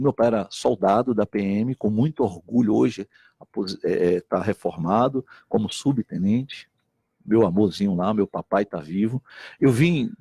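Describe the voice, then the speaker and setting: Animated man. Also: mid-range voice, husky, dry recording. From Recife, Brazil. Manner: earnest, repeats himself, quotes others in lively voices. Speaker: state deputy and missionary pastor. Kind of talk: animated talk-show conversation.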